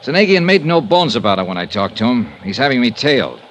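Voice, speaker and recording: An actor playing a tough, wry insurance investigator, narrating and talking in a 1950s radio drama with crisp, brisk delivery. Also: male voice, medium pitch, very animated, a little hiss or hum, close to an everyday voice. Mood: determined. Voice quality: crisp, brisk, dry wit.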